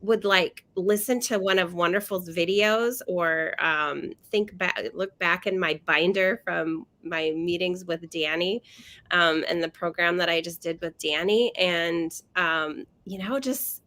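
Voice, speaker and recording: A woman co-hosting a casual chat over a video call, nasal from a cold, talking haltingly with many fillers and restarts. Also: lowish voice, a bit bright. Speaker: co-host.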